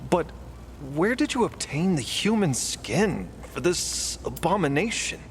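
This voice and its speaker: A man's voice: a little high, very animated, unhurried, slight background noise. An actor playing an earnest, suspicious, God-fearing young man in an audio drama, with formal, theatrical wording. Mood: accusing, suspicious. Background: music.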